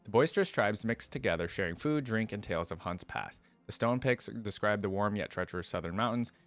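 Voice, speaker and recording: A man's voice: lowish voice, lively intonation, brisk, a bit bright, soft background music. A man hosting a reaction video, reading story lore aloud and breaking in with casual, conversational commentary.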